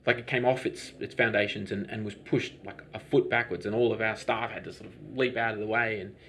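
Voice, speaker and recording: A man with a low-pitched voice answers interview questions as a business owner. He talks in a rambling, hesitant way, often restarting sentences. A faint hum of ambience sits behind him. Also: little pitch movement, a little fast, a bit bright. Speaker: lavender farm business owner.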